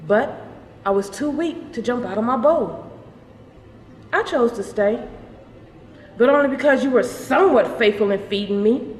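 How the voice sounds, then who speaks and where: A woman performing a dramatic monologue as the bitter, resentful ghost of a pet goldfish, speaking in a theatrical, deliberate, scornful way. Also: on the high side. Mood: aggrieved, bitter. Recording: noisy, echoing hall recording.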